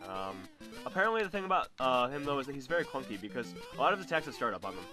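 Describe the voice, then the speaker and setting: A young man co-hosting a casual video game chat, talking quickly with lots of restarts. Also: over music. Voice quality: deep bass voice. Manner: fast, animated, stumbling restarts. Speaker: co-host.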